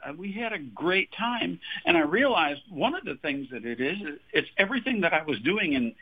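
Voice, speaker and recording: A man's voice: a little high, husky. Man in his sixties from Texas, chatty guest, laughs often, restarts his sentences, tinny phone line. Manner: chatty, laughs often, repeats words when starting. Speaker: singer-songwriter guest. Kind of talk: radio interview, casual storytelling.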